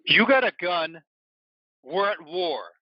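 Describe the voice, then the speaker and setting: An actor playing a tough, foul-mouthed biker, blunt and combative, in an audio play. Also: male voice, high-pitched, big pitch swings, slow pace, no room sound, dull audio, full character voice. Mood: goading.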